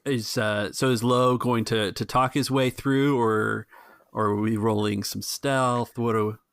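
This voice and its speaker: A man's voice: medium pitch, steady tone, unhurried, fairly bright tone, clear, resonant tone, dry recording, clean studio sound. A man working as game master, casually narrating a tabletop role-playing game.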